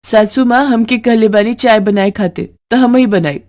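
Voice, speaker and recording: A woman's voice: fairly high pitch, normal speed, darkish tone, lightly acted. A woman voicing a plaintive, argumentative young daughter-in-law with a Bhojpuri accent in a moral story. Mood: insistent.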